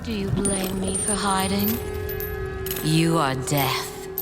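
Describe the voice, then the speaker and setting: A woman's voice: medium pitch, slow pace, over loud music, lightly acted. A woman voicing a seductive, scheming demon queen and mother in a video game, speaking in a silky, coaxing, theatrical way. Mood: accusing, sly. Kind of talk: dramatic video game acting.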